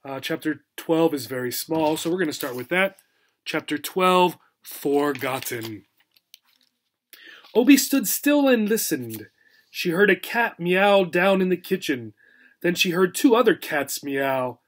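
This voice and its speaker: High-voiced man reading a children's story. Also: measured pace. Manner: expressive, explanatory storytelling for learners. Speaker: teacher reading aloud. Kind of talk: children's story read-aloud with simple recap.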